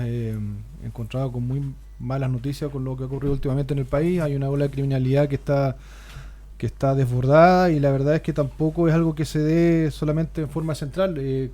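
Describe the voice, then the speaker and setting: A man's voice: mid-range voice, dark tone. A man with a Chilean accent, a local shopkeeper speaking as a panelist on a radio talk show, earnest with some repeated words. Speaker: panelist, shopkeeper and council candidate. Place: radio studio.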